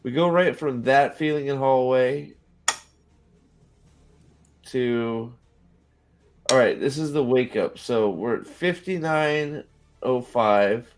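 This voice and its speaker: A man's voice: medium pitch, slow pace, pauses often. A man hosting a loose reaction chat about a TV show. His talk is blunt and full of swearing, and he keeps restarting his sentences as he rambles along. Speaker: show host. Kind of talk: casual reaction commentary.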